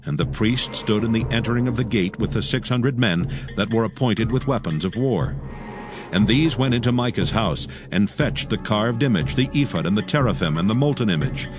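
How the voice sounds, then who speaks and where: A man narrating scripture from a script as a solemn, measured storyteller. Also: fairly low pitch, lively intonation, over music.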